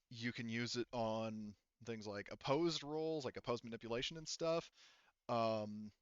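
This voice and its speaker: A man running a tabletop roleplaying game as game master, explaining story and rules in a halting, informal way. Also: mid-range voice, a bit bright, dry recording, highs cut off. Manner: halting, hedging, informal and profane.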